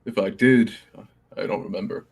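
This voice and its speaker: A man taking part as a player in a tabletop role-playing game, speaking in character in an unhurried, halting way. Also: lowish voice, fairly even intonation, vocal fry, a little mellow, husky.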